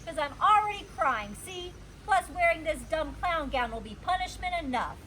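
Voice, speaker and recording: A woman's voice: high voice, animated, normal speed, pushed and bright, slight background noise, pitched above the performer's own voice, rough recording. A woman voicing a dramatic, indignant little schoolgirl in a storybook, with emphatic childish phrasing. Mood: tearful pleading.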